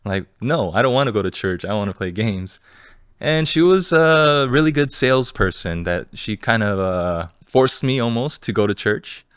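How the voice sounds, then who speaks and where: A man with a very low, smooth and resonant voice tells his story to an interviewer. He sounds relaxed and candid, with frequent fillers. The recording is close and dry. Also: normal speed, dry recording. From Los Angeles, California. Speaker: guest, entrepreneur and fund manager.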